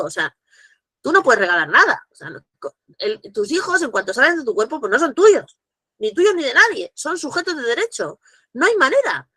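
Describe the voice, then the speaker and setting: A woman, speaking as guest at a virtual conference, animated and full of restarts. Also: medium pitch, no echo. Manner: animated, acts out quoted dialogue, frequent restarts. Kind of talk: virtual conference talk, answering questions. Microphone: video call.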